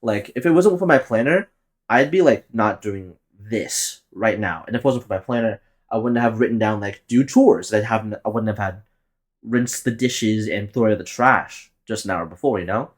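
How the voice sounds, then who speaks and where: A man's voice: on the low side, moderate pace. A man about to turn twenty, hosting a solo podcast and rambling loosely with plenty of swearing and laughing at his own jokes. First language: Tagalog. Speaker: podcast host. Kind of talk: casual solo podcast monologue.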